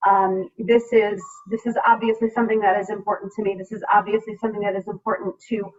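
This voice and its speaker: A woman's voice: mid-range voice, flat delivery, fast talker. An American woman legislator speaking earnestly and emphatically on a panel over a video call. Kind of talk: public panel remarks, speaking from personal conviction. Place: remote video meeting. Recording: distant, muffled video call audio.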